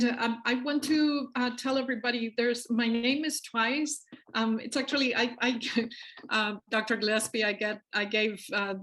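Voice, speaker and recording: A woman's voice: a little high, a bit bright, poor audio. Chatty woman moderating a panel.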